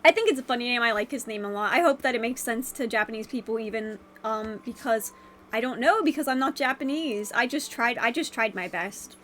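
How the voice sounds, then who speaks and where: High-voiced young woman with a stuffy cold. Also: fairly bright tone, a little hiss or hum. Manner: rambling, halting, with frequent fillers and restarts. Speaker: vlogger. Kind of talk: rambling casual vlog. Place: her bedroom.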